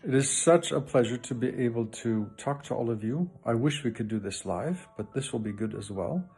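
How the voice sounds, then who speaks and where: A man's voice: medium pitch, lively intonation, normal speed, mellow, breathy edge, faint music behind. A middle-aged man from Canada, a professor and lay preacher, giving an enthusiastic greeting and Bible teaching with frequent restarts.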